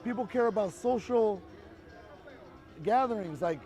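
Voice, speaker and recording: Street activist, male, high voice, emphatic and lecturing, outdoors with faint music behind. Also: animated, unhurried, darkish tone, muffled recording. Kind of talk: persuasive street conversation.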